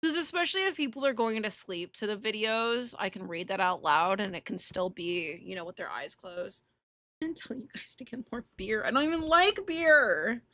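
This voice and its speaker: A woman hosting a casual game livestream, chatting bluntly and irreverently and reading on-screen text aloud in an animated way. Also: medium pitch, moderate pace, no echo, studio quality. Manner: foul-mouthed, irreverent, blunt. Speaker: live streamer hosting a visual novel playthrough.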